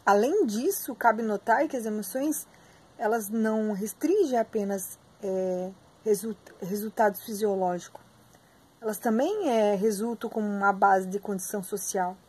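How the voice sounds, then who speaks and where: A young adult woman with a Brazilian Portuguese accent teaches an online class from a textbook. She sounds hoarse and husky, keeps clearing her throat, fills her talk with hesitations and speaks straight to her students. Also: mid-range voice, a little slow, a little mellow. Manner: filler-heavy, addresses students directly. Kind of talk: online lecture reading from a textbook.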